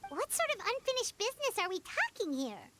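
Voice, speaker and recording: Squeaky, very high fairy girl. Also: sing-song, a little fast, background noise. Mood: curious. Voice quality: squeaky, childlike. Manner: speaks of self in third person. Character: tiny floating fairy companion girl, chirpy, childlike, blunt. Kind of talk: anime video game voice acting.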